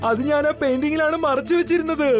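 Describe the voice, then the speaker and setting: An actor voicing a fretful, eccentric scientist in a children's cartoon, whiny and exclaiming in a flustered way. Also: male voice, very high voice, steady tone, fast, music in the background.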